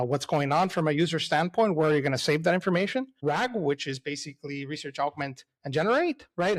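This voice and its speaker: A middle-aged man from El Salvador, a company CEO, giving a casual, enthusiastic webinar presentation with frequent fillers and "right?" asides. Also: fairly high pitch.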